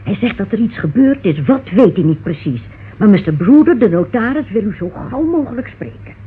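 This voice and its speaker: An actor playing an apologetic police subordinate in a Dutch radio drama. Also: male voice, sits high, big pitch swings, brisk, slightly rough, narrow, tinny audio, full character voice. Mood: polite, urgent. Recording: thin, noisy old radio recording.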